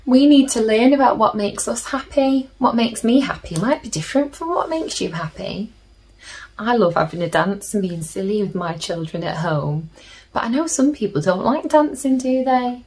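A woman in her thirties reading a picture book aloud to children in a gentle, deliberate manner, with chatty asides. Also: fairly high pitch. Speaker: children's story reader. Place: small plain room indoors.

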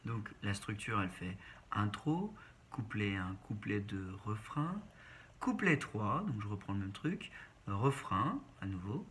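A man's voice: on the low side, very animated, a little slow, fairly bright tone, a little hiss or hum. A man giving a step-by-step piano tutorial in short instructional phrases, naming notes one by one. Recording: piano playing over the voice. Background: keyboard piano being played.

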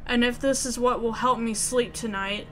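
A woman reading scripted story narration aloud in an expressive way. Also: fairly high pitch, normal speed, slight background noise. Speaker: video game player reading narration aloud. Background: music.

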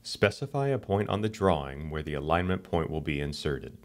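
Man, very low voice, calm scripted tutorial narration, steady and instructional. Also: moderate pace.

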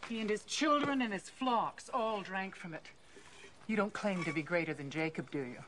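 A woman's voice: mid-range voice, normal speed, natural voice. An actress playing a wary, direct, middle-aged village woman in a biblical film, plain-spoken and questioning. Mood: skeptical, challenging. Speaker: film actor. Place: outdoors, film location. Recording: film soundtrack with outdoor ambient noise.

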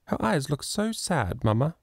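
A man reading a storybook, voicing an eager, chattering little girl who pleads and bubbles with excitement. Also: fairly high pitch, measured pace, creaky, dry recording, studio quality, pitched above the performer's own voice, full character voice. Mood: eager pleading.

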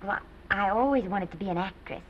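A woman's voice: mid-range voice, brisk, close to an everyday voice. A young woman playing a naive, earnest farm girl who hopes to act, in 1930s Hollywood film style. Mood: shy, earnest. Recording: dull old film soundtrack, faint hiss.

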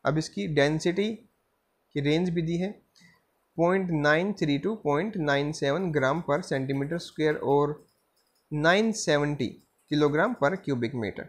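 Slow, careful male lecturer. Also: on the high side. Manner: slow reading of slide text, with frequent "theek hai" fillers. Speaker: civil engineering lecturer. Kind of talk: slide lecture, reading English lines then explaining in Hindi. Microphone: voice-over on screen-recorded slides.

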